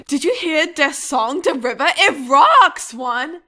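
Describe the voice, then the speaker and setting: A woman's voice: high-pitched, very animated, slow pace, bright, forceful tone, slight background noise, exaggerated voice. A woman playing a petulant young amateur writer in a cartoon, with a whiny, defiant, overwrought manner. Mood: chatty. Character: shrill, petulant young amateur fan fiction authoress.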